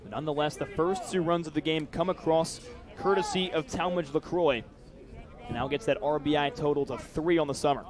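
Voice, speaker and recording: A man's voice: on the high side, fairly even intonation, a little fast, crowd noise. A man doing live baseball play-by-play commentary as the announcer.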